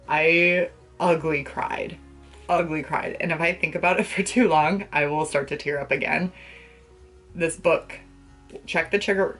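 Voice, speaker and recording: A young woman chatting casually to the camera as a book vlogger, with an expressive, gushing and emphatic way of talking. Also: low voice. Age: young adult. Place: small bedroom, indoors.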